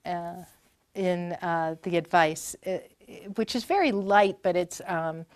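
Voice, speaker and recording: A woman's voice: lowish voice, slow pace, clear, resonant tone, no room sound. A woman in her fifties from Maine, telling family stories in a chatty, rambling way with many fillers and restarts during an interview at a library talk. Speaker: performer and guest. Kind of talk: conversational interview storytelling.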